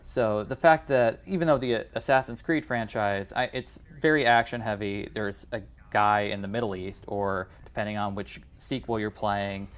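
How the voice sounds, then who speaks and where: A man with a slightly low-pitched voice talks as co-host of a film podcast. His delivery is conversational and casual, like a relaxed discussion of movies. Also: normal speed, hardly any pauses.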